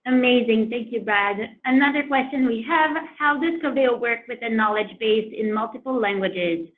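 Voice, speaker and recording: A woman's voice: fairly high pitch, normal speed, a little hiss or hum. A woman hosting a product webinar, presenting and reading audience questions in a friendly way with many fillers. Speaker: webinar host. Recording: tinny phone-like line.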